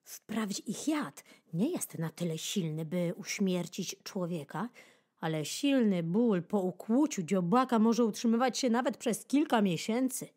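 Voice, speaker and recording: A woman narrating a children's nature book in an expressive audiobook style, with playful, dramatic pauses and emphasis. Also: medium pitch. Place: studio. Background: keyboard music.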